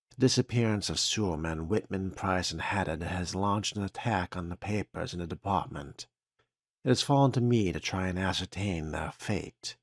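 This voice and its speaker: Man reading as a deep, solemn 1950s foreman. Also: no echo, clean studio sound. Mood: grave, dutiful. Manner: grave, formal, measured. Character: solemn, uneasy 1950s male city waterworks foreman. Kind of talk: dramatic reading of a written log. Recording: music behind the voice.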